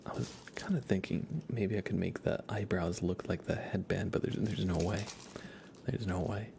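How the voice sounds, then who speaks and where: A man livestreaming a game in ASMR style, softly whispering in a relaxed, casual way as he thinks aloud and chats with viewers. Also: low voice, moderate pace, noisy background. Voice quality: soft, breathy whisper.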